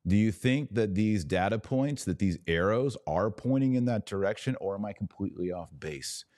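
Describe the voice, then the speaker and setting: A man's voice: lowish voice, lively intonation, moderate pace, a little mellow, no room sound, clean studio sound. An American man giving emphatic live opinion commentary as a podcast host, speaking to his viewers and repeating phrases for stress.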